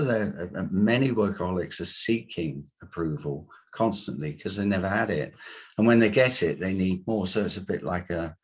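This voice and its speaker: A man, a coach being interviewed, explaining his work in deliberate, halting phrases. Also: low-pitched, breathy edge. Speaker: guest, coach and hypnotherapist.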